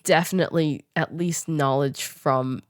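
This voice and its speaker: A young woman hosting a casual podcast interview in a giggly, halting, enthusiastic way. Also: low voice, fairly even intonation, dry recording, studio quality. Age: young adult. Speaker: podcast host.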